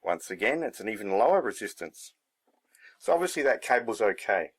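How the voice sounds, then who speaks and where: A man's voice: fairly low pitch, sing-song, a little fast, husky. A man giving a casual hands-on demonstration, thinking aloud in a laid-back, unscripted way as he works. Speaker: hobbyist presenter.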